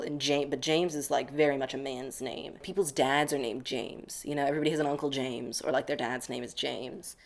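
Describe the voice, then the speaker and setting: A man's voice: medium pitch, gravelly. A young man from the United States, answering interview questions in a reflective, casual way, often saying "like". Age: young adult. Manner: reflective, many filler "like"s.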